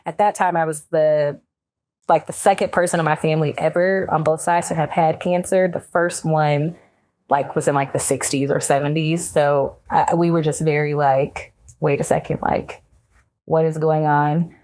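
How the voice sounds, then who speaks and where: Young American woman, deep register, chatty testimony with expressive quoted asides. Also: normal speed, dry recording. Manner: conversational, frequent "like", expressive quoting. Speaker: round-table panelist, cancer survivor. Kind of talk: casual personal testimony.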